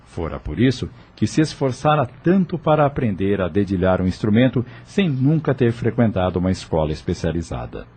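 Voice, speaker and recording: Husky, mellow low-voiced male narrator. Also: sing-song, fairly quick, few pauses. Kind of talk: scripted audio novel narration.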